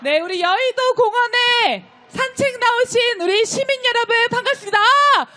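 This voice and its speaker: A woman leading a street protest, delivering impassioned, declamatory speech and shouted chants to the marchers. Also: very high-pitched, moderate pace, a faint crowd, a little hiss or hum. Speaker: rally host leading chants. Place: outdoor street march beside a park.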